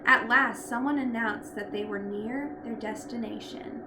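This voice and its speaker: Echoey, fairly high-voiced woman reading a story. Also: unhurried. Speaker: storybook reader. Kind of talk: expressive read-aloud narration. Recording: echoey room with loud background noise.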